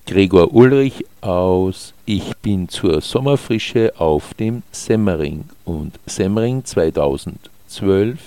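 A man reading his own short literary texts in a measured, descriptive storytelling manner. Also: deep voice, lively intonation, warm tone, no room sound. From Austria. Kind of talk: scripted literary narration.

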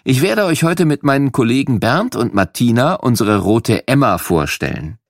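A man voicing a friendly male firefighter who calmly explains things to children. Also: medium pitch, moderate pace, vocal fry, dry recording, studio quality. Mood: reassuring. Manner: calm, reassuring, explaining to children.

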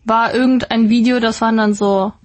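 A woman talks as an interview guest. Her voice is on the high side. She chats informally and drops in the odd English word. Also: steady tone, normal speed, darkish tone. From Ruhr area, Germany. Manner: informal, mixes in English words. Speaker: interview guest, YouTuber and presenter. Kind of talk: casual chat.

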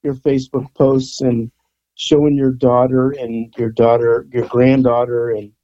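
60-something guy rambling on about his photo days, mellow voice, kinda dull lo-fi sound. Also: mid-range voice, level tone, unhurried, dry recording. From San Francisco Bay Area. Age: 60s. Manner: rambling, chatty, lots of fillers and restarts. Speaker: guest, rock photographer. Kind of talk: casual interview chat.

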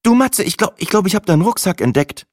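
A man doing a chirpy young woman, high. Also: animated, fast talker, no echo, clean studio sound. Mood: earnest. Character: cheerful, breezy young woman, the narrator's girlfriend.

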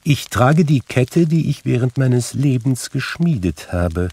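A man's voice: mid-range voice, very animated, a little slow, mellow, a little hiss or hum, voice pushed up. A man reading aloud, voicing the ghost of a dead old man weighed down by chains, in a grave, intoning way.

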